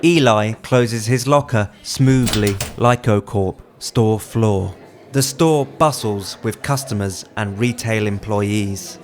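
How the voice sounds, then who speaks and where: A man's voice: on the low side, slow pace. A man reading screenplay directions as a scripted narration. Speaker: writer reading own screenplay.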